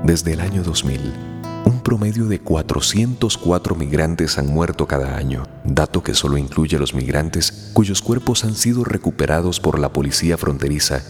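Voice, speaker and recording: Very deep, grave male narrator with reverb. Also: normal speed, a little mellow. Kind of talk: scripted documentary narration. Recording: long reverb, light guitar music.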